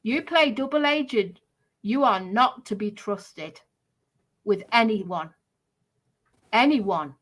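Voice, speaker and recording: A woman's voice: mid-range voice, slow pace, pauses often, a bit bright. A woman live streamer delivering a confrontational monologue in an accusatory, emphatic, repetitive manner.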